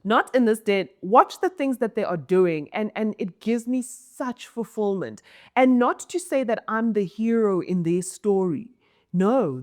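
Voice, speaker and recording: A South African woman with a low, commanding voice talks through an interview answer as a mentor. She speaks emphatically, doubles back on her words, and laughs easily between thoughts. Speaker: mentor and entrepreneur.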